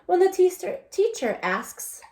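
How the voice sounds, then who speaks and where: A woman teacher reading a picture book aloud to young children, expressively and deliberately, with conversational asides and questions to her listeners. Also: extremely high pitch, big pitch swings, mellow. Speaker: elementary English language development teacher.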